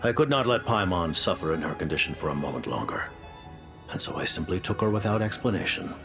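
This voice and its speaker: An actor playing a composed, courteous but cold male leader in a video game, speaking in a measured, formal way. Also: low-pitched, animated, vocal fry, a bit bright, soft background music, full character voice. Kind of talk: video game cutscene acting.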